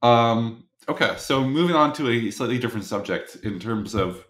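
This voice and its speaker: A man's voice: mid-range voice, expressive, normal speed, a bit bright, reverberant. A man hosting an interview, asking questions in a conversational way. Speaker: interviewer, host.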